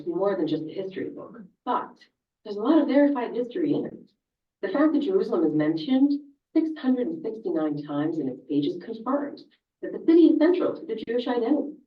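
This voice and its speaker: A woman whose first language is Hebrew, narrating a scripted explainer video and driving her points home with emphatic rhetorical questions. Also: mid-range voice. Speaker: video presenter. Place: video played in a room. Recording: distant echoey playback, dull sound.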